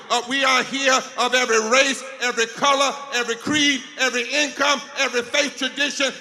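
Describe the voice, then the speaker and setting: A man's voice: very high voice, vocal fry, poor audio. A man giving an impassioned rally speech, rousing and rhythmic, building through lists. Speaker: rally speaker. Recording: loud music and crowd behind.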